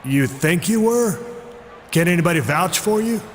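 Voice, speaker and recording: A stern authority figure in a game cutscene, measured and probing, with a slightly high pitch. The voice rings in a long reverb with faint noise behind. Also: male voice, expressive. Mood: stern, skeptical. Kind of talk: video game cutscene acting.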